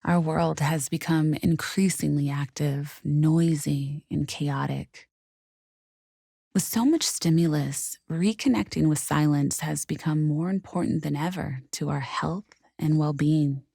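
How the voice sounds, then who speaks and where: A woman guiding a meditation in a soothing, unhurried, softly spoken way with gentle pauses. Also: low-pitched, gravelly, breathy edge, dry recording, studio quality. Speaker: meditation guide. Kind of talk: guided meditation narration.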